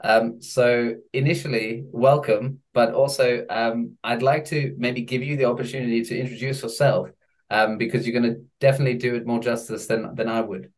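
A man hosting a podcast, chatting loosely with a guest and pausing on fillers as he thinks. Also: medium pitch, fairly even intonation, poor audio. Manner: hesitant, with many fillers and quick laughs. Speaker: podcast host. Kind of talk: casual interview chat.

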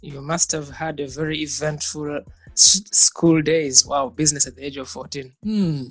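A man's voice: a little high, steady tone, moderate pace, a bit bright, no echo, soft background music, rough recording. A man hosting a podcast interview, introducing his guest and asking questions with upbeat interjections. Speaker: podcast host.

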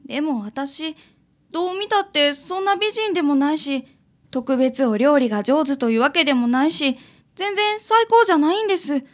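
Insecure young wife played by a woman, high register, self-effacing and sincere, for a radio play. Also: normal speed, lightly acted. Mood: worried. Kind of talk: radio drama acting.

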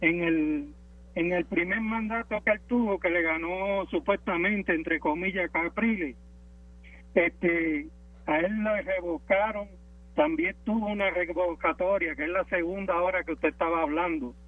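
A man's voice: high voice, unhurried. A man with a Puerto Rican accent calling in to a political radio show over a phone line. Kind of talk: political call-in talk. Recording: thin, narrow phone line.

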